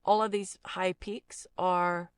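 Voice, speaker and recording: A woman instructing in a casual step-by-step software tutorial, explaining each step plainly into a close mic. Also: on the low side, level tone, slow, smooth, dry recording.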